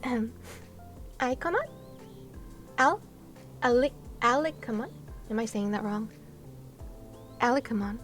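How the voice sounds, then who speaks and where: A woman's voice: a little high, animated, unhurried, long pauses, fairly bright tone, soft background music. A woman livestreaming and chatting casually with her viewers, giggly and playful, with gushing exclamations and sing-song asides. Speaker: live streamer.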